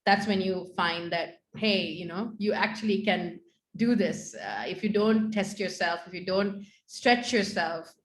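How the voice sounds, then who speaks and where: A woman's voice: medium pitch, expressive, measured pace, echoey room. A woman hosting an online panel interview, asking questions and affirming her guest in an encouraging way. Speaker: host, interviewer.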